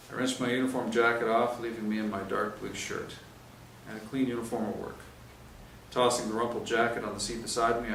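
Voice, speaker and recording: A man's voice: lowish voice, a bit bright. A man reading first-person crime fiction aloud in a hard-boiled, dramatic way with emphatic swings. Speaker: reader of a novel chapter. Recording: roomy, distant mic, faint noise.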